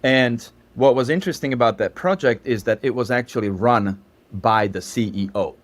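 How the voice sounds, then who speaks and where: A man's voice: medium pitch, moderate pace. A man in his fifties from Slovakia with a Slovak accent, a podcast guest who explains at length with asides. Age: 50s. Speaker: guest, startup founder. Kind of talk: podcast interview.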